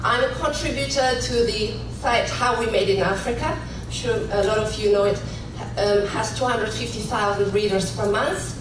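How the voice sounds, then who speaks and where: A woman's voice: medium pitch, moderate pace. Woman, conference audience member speaking off the cuff, distant in a noisy hall. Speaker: audience member, contributing writer. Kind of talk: impromptu comment at a conference. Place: large conference room. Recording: echoey hall, distant mic, noisy room.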